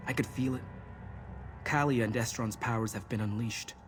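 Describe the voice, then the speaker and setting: An actor playing an earnest, resolute young mage in a video game, explaining things intently. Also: male voice, mid-range voice, normal speed, noisy background, close to an everyday voice. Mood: urgent, resolute. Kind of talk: video game character dialogue.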